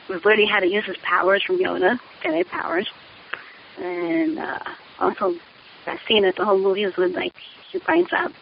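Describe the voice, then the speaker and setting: Caller: woman, hesitant and informal, vocal fry, dull lo-fi recording with faint hiss. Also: lowish voice. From New York, USA. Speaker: listener calling in. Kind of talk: casual chat, audio feedback. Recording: muffled, hissy lo-fi voicemail sound.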